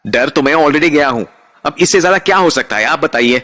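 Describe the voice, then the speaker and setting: A man's voice: a little high, lively intonation, brisk, bright, forceful tone. A man acting as a naive, anxious, good-hearted young traveller in an audio drama, speaking in an emotive, dramatic way. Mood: bewildered, anxious. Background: background music.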